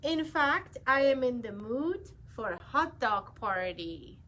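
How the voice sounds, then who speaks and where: Woman plays pushy little pigeon, pleading and bargaining, bright high voice. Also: very animated, takes it slowly, a little hiss or hum, heavily acted. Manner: wheedling, pleading, loud bargaining. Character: pushy stubborn young male pigeon who won't sleep.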